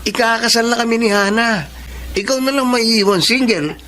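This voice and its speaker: A woman's voice: a little high, normal speed, fairly bright tone, lightly acted. An actress playing a teasing young female friend who pokes fun and laughs, in an audio drama. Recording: music behind voice.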